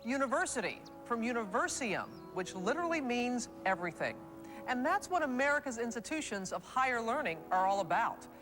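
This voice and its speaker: Woman narrating a sports promo, lively melodic voice, slow stately read, music under it. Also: fairly high pitch. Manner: measured, stately, reflective delivery. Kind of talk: scripted documentary narration.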